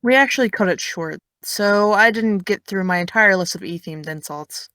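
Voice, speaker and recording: A young actress playing a blunt, sarcastic high school girl with no filter. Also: normal speed, pushed and bright, no room sound, natural voice. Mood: sardonic. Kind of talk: audio drama.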